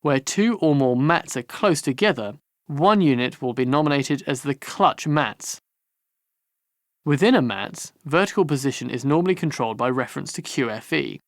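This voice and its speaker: A man reading scripted instructional narration for a training course in a measured, formal manner. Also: medium pitch, no echo, clean studio sound.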